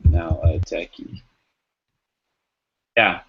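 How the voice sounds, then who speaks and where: A man's voice: sits low, fairly even intonation, extremely slow, breathy edge. A man chatting casually in an online group discussion, helpful and encouraging as he facilitates. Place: online video call. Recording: dull lo-fi video call.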